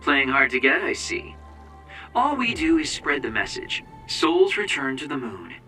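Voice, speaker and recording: A playful young male soldier in a video game. His voice is very bright and lively in pitch, casual and joking, slightly distant with faint music. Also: unhurried. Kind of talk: video game cutscene acting.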